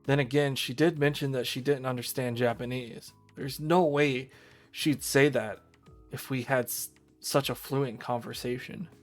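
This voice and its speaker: A man's voice: medium pitch, fairly even intonation, a little slow, faint music behind. A man reading a visual novel aloud on a livestream, narrating and stumbling over lines with casual asides.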